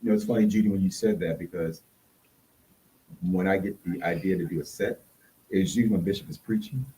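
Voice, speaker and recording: A man's voice: low-pitched, fairly quick, dark tone, far from the mic, low-quality recording. A man chatting casually in conversation, with faint noise behind him. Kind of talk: casual chat.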